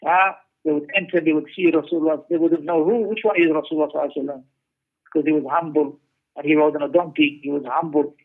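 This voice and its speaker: A high-pitched man gives a religious lecture over a narrow, telephone-like recording. He explains emphatically, circling back to repeat phrases and putting rhetorical questions to his listeners. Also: steady tone, fast talker. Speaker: religious teacher giving a class. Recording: muffled narrow-band radio-like sound.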